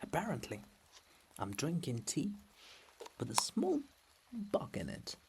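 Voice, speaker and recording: A man narrating a play with measured, theatrical delivery and intimate asides to the listener. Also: on the high side, huge pitch swings, gravelly, noisy background. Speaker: narrator of a dramatic play reading.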